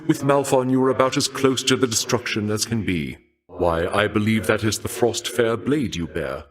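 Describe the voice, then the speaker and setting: A man voicing a suave, courtly god of war in a video game, speaking in formal, archaic, measured phrases with a relish for death. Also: lowish voice, a crowd in the background, close to an everyday voice. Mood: intrigued, admiring. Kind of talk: theatrical video game character voice lines.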